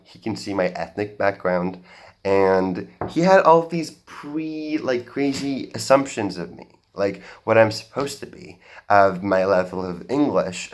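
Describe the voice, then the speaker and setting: Low-voiced young man giving a slow talk. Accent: general American. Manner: earnest, halting with fillers and restarts. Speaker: speaker at a talk. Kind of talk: public talk, personal story. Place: auditorium stage.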